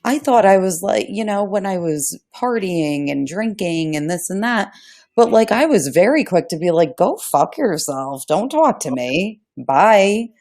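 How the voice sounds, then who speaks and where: A woman co-hosting a casual podcast, telling stories in a blunt, animated, profane way. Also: mid-range voice, a little slow. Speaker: podcast co-host. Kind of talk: casual conversational podcast chat.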